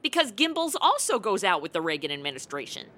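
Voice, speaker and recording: A woman's voice: medium pitch, very animated, quick pace, heavy background noise, lightly acted. A woman acting a sardonic, irritable, hard-drinking publicist in a comic radio play, with slangy, sarcastic delivery. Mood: wry, jaded.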